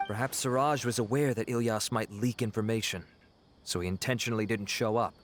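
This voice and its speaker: A man's voice: on the low side, a little fast, close to an everyday voice. Man as a formal, dutiful game officer. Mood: matter-of-fact. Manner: official, clipped, courteous. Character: formal, dutiful male law-enforcement officer. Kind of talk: video game voice acting.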